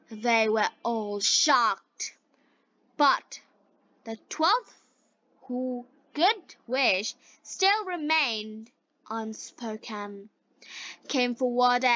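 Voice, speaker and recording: Very slow, high-pitched woman storyteller. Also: bright, forceful tone, no echo, lo-fi sound. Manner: deliberate phrasing in short separated chunks. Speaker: storyteller for English learners. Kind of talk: scripted fairy-tale narration for beginners.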